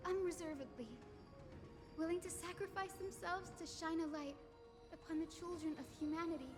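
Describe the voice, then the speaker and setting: A woman voicing a young, gentle heroine in a game, speaking with tender, earnest resolve. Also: very high-pitched. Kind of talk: dramatic scripted game dialogue. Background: game music.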